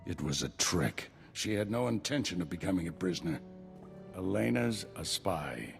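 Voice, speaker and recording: An older Jedi master in a sci-fi game. The voice is very deep and lively in pitch, wry and protective in authority, with faint music behind it. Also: male voice, moderate pace, gravelly. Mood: grave, commanding. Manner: wry, commanding.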